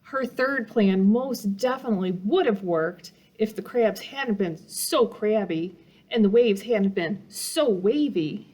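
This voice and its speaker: A woman reading a children's picture book aloud as a storytime reader, with dramatic emphasis meant to engage young listeners. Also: medium pitch.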